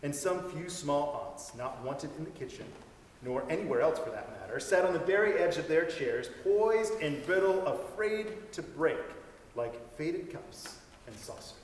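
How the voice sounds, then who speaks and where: A man giving an expressive dramatic reading of a story, lingering over its phrases. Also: fairly high pitch, measured pace. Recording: echoey hall with faint noise.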